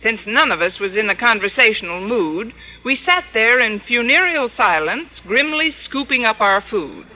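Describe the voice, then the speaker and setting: A woman playing a wry, sardonic schoolteacher with a dry deadpan delivery in radio sitcom style. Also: fairly high pitch, normal speed, few pauses, a little hiss or hum, close to an everyday voice. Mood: dry gloom. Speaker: lead actress and narrator. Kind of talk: 1940s-50s radio sitcom acting. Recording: muffled old radio recording.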